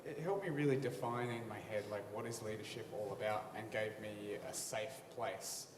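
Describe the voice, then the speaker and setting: A man's voice: on the low side, moderate pace, a crowd in the background. A young man answering on a conference panel, reflective and full of fillers. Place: large conference hall. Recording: distant mic in echoey hall, noisy.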